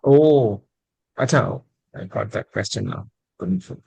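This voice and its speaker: A man answering quiz questions off the cuff in a casual, hesitant, halting way. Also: on the low side, creaky, a little mellow, slightly rough, highs cut off. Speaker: quiz contestant.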